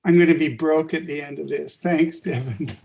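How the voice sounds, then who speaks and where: A man who stutters, presenting a workshop on a video call, talking haltingly and joking. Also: fairly high pitch, vocal fry, a little mellow. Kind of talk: casual thanks in a group call.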